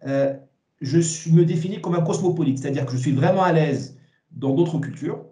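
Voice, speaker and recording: Man, forties, slightly high pitch, soft warm tone, emphatic halting interview answers, echoey room. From Morocco. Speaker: interview guest, essayist.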